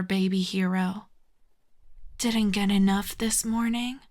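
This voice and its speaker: A woman performs a telepathic villainess in an intimate audio roleplay. She is smug, sardonic and menacing, taking her time over every taunt in a drawn-out delivery. Her breathy voice often sinks toward a whisper, with a medium pitch for a woman. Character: smug telepathic female villain, mocking, menacing, secretly intrigued. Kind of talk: ASMR audio roleplay monologue.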